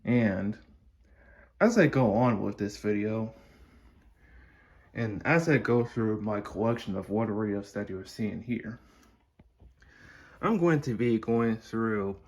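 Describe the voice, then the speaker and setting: A man with a lowish, slightly husky voice talks casually through a showcase. He explains things slowly and haltingly, meandering from point to point as he films indoors on a phone. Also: lively intonation, darkish tone. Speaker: hobbyist video creator. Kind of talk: casual unscripted showcase narration. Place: indoors at home beside a shelf of radios. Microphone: handheld phone behind the camera.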